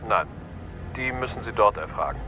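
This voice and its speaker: An actor playing an officious registry clerk in a German radio crime drama, terse and bureaucratic, heard as if over a phone. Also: male voice, on the low side, animated, normal speed, over music, natural voice. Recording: dull, band-limited phone call effect.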